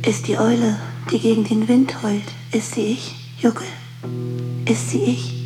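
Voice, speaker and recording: An actress playing a haunted, distraught woman in a literary radio drama, speaking in a slow, incantatory way. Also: a little high, mellow, low-quality recording. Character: distraught woman, haunted, urging on and questioning herself.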